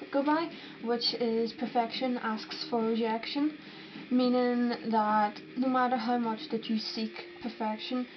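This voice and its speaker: A young woman from Northern Ireland with a Northern Irish accent, chatting casually to camera and answering viewer questions. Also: a little high. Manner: chatty, rambling, with fillers and restarts. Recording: dull lo-fi sound with noticeable background noise.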